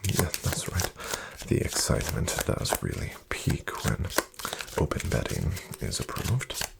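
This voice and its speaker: A man speaks very softly in a low voice full of heavy creak, often sinking toward a whisper. He takes his time, playing a courteous, formal casino dealer for an ASMR roleplay. There is clear noise in the recording, with cards shuffling and clicking behind him. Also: wildly swooping pitch, measured pace. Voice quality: breathy, gravelly, often near whisper. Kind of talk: soft-spoken ASMR roleplay. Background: card shuffling and clicks.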